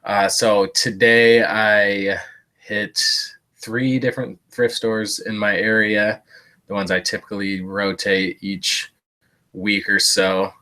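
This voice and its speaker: This is a man whose voice sits a little low and sounds stuffy and blocked up from a cold. He chats casually to a live-stream audience, meandering and padding his sentences with fillers and offhand self-mocking asides. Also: little pitch movement, slow, bright, forceful tone. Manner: rambling, lots of "uh" fillers, self-deprecating jokes. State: stuffy, congested. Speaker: thrift reseller streaming a haul. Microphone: live-stream setup.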